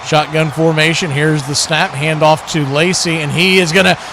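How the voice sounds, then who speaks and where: A male sports announcer with a notably high-pitched voice delivers live football play-by-play. He works in short, clipped phrases that follow the action. A clear layer of noise sits behind his voice. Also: moderate pace. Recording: clear steady background noise.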